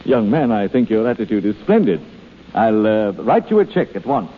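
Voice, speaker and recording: A man's voice: on the low side, very animated, moderate pace, husky. A man playing a suave, sardonic swindler posing as a haughty princely uncle in 1930s radio drama. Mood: smoothly gracious. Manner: pompous, sardonic, theatrical. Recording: muffled, reverberant vintage 1930s radio broadcast.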